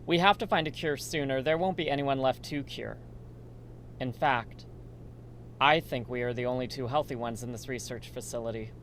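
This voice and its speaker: A man's voice: mid-range voice, normal speed, fairly bright tone, a little hiss or hum, lightly acted. A man playing a worried, earnest research assistant in a radio drama. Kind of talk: amateur radio drama dialogue.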